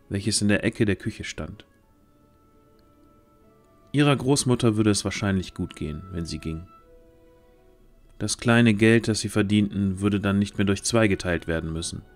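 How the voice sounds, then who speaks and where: A man with a low voice reads scripted fantasy narration for an audio drama. Faint music plays behind him as he tells the story. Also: normal speed, long pauses.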